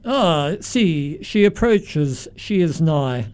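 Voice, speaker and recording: A mild, pompous parson, played by a man, in a radio comedy. His mellow voice sits fairly high, and his delivery is formal, pedantic and unctuous. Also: animated, extremely slow, clean tone. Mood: devoted, fussy. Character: pompous, mild, scholarly country clergyman. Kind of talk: stage comedy radio acting.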